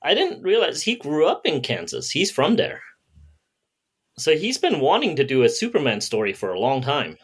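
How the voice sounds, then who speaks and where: A man's voice: fairly high pitch, huge pitch swings, moderate pace, husky. A man chatting casually and a little tentatively as a panelist on a comic book podcast.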